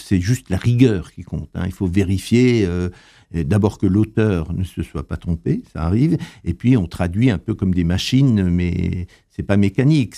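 An older man answering interview questions, hesitant and full of pauses and fillers. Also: sits low, vocal fry, warm tone. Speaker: interviewee, translator.

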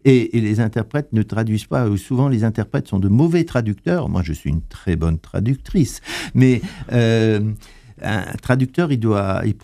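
An older man answering interview questions, hesitant and full of pauses and fillers. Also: lowish voice, lively intonation, creaky, dark tone. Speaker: interviewee, translator.

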